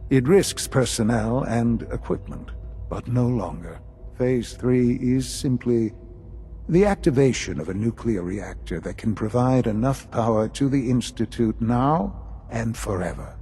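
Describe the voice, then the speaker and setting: An actor playing a grave older institute leader in a video game, speaking formally and deliberately with measured pauses. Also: male voice, medium pitch, expressive, dark tone, soft background music, close to an everyday voice. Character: grave older male leader of a secretive science institute, dying.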